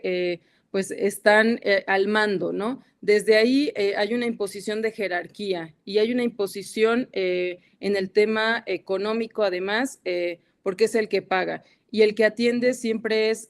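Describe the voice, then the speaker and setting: A woman's voice: mid-range voice, clean tone. A woman with a Mexican accent giving an academic panel talk over a video call, hesitant and full of fillers and restarts. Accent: Mexican Spanish. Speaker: guest academic speaker.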